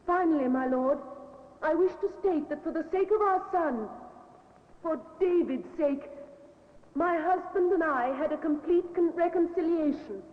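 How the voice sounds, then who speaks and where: Accused wife on trial, high voice, formal earnest plea, 1950s radio drama, tinny narrow recording. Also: female voice, reverberant, big-room sound. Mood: solemn, pleading. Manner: formal, measured courtroom statement.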